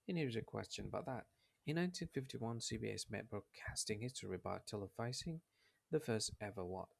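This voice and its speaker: Man with a gravelly voice hosting a quiz explainer. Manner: low-key, halting reading with restarts. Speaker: quiz channel host.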